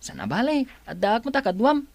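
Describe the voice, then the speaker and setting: A woman's voice: a little high, huge pitch swings, fairly quick, no echo. A woman voicing a friendly, loyal young male best friend in a radio drama, reassuring and companionable.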